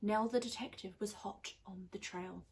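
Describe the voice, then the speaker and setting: A young woman reads a rhyming children's storybook aloud in an expressive, teacherly way. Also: mid-range voice, normal speed, background noise. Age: young adult. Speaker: primary school teacher reading aloud. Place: small indoor room on a sofa.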